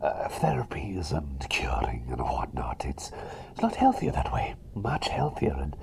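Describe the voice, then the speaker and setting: A man playing a cheery, self-doubting plague doctor in an ASMR roleplay, whispering breathily and softly, with hesitant, repetitive rambling that seeks approval. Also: extremely low pitch, huge pitch swings, a little slow, full character voice. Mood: eager, rambling. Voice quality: breathy whisper mixed with soft voice. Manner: hesitant, rambling, repeats phrases, eager for approval.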